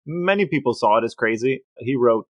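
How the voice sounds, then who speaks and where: A man's voice: mid-range voice, expressive, fairly quick, dry recording. A man with an American accent sharing stories in casual podcast conversation, with dry, understated humor. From Elmore, Ohio, United States. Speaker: podcast co-host. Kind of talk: casual chat with researched stories.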